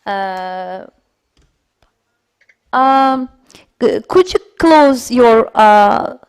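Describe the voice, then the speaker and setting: A woman's voice: fairly high pitch, expressive, smooth. A woman moderating an online academic symposium over a video call, speaking hesitantly with many fillers. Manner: slow and hesitant, many fillers and soft backchannels. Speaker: session moderator.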